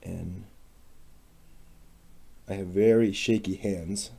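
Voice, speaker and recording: A man with a fairly dark, somewhat husky voice hosts a casual craft session, thinking aloud as he works. He speaks very slowly, in short muttered phrases, as if mostly to himself. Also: low-pitched, fairly even intonation. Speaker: maker hosting a craft workshop video.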